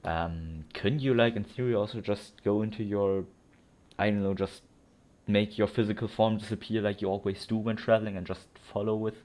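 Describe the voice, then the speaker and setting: A man's voice: fairly low pitch, fairly even intonation, moderate pace, darkish tone. A young man giving casual, rambling, slangy commentary while playing a video game. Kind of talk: casual let's play commentary. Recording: game music clearly behind. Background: video game music.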